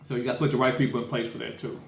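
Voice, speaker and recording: A man's voice: mid-range voice, flat delivery, racing, creaky. A man with a St. Louis accent co-hosting a casual podcast, talking loosely and joking. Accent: St. Louis, Missouri. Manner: loose, joking, urging listeners. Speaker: podcast co-host. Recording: echoey room with faint noise.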